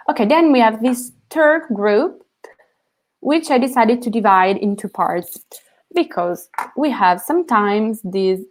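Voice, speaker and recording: Slow-speaking Italian woman teaching a lesson. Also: medium pitch. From Italy. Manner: checks in with "okay?" after each point. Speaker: language teacher. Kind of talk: online language lesson.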